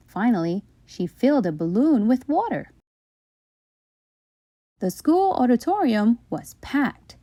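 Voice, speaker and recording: A woman reading a children's bedtime story aloud in an expressive narrating style. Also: a little high, no echo.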